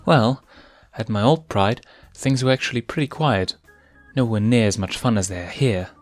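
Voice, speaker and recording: A man voicing a young female lion cub in a storybook, friendly, gentle and curious. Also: medium pitch, normal speed, dry recording, soft background music, pitched above the performer's own voice, close to an everyday voice. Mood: reflective.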